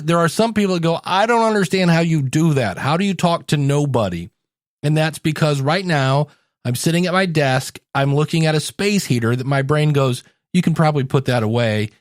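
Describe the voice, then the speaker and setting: An American man with a fairly high voice talks as a podcast host and coach. He explains things conversationally, speaking straight to the listener. The sound is dry and close, as if at a desk microphone. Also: no room sound. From United States, Ohio. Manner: conversational, addresses the listener directly. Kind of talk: solo podcast talk, teaching.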